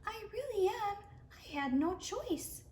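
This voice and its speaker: Woman voicing a tiny, high, mournful little storybook creature. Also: lively intonation, slow, a little mellow, roomy sound, slight background noise, full character voice. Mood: apologetic. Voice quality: small voice. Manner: mournful, pleading. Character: small, mournful, ashamed little book-thief creature, male.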